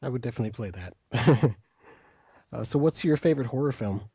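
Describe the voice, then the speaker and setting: Mellow male interviewer, dry sound. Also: medium pitch, lively intonation, fairly quick, slightly rough, dry recording. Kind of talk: casual interview questions. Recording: dull, dry lo-fi audio.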